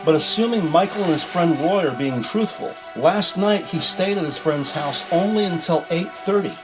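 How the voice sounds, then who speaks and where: A man's voice: on the high side, moderate pace, poor audio. An American man reading scripted crime-drama narration. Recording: music behind the voice.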